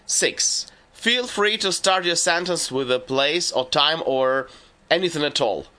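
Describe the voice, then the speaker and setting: A man giving scripted narration for a language lesson, laying out rules and lists in a measured, deliberate way. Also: a little high, lively intonation, sharp tone. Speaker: language teacher.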